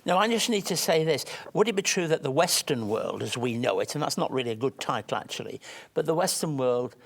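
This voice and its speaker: A man's voice: medium pitch, expressive, a little fast, creaky. A man hosting a talk show, interviewing a guest hesitantly with many short murmurs of agreement. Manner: hesitant, with frequent backchannel murmurs.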